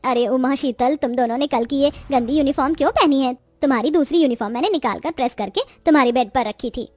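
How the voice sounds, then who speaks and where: A devoted young aunt in an animated story, voiced by a woman. Her high voice is kind but firm. The recording is dry and close. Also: fast talker, no room sound, faint music behind. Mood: caring. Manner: caring, firm.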